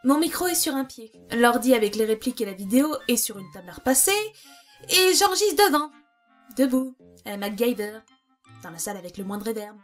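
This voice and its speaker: A woman's voice: on the high side, very animated, a little fast, soft background music. A woman who voices a part in a fan dub, sounding playfully exasperated. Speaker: fandub voice actress. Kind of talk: casual recorded answer.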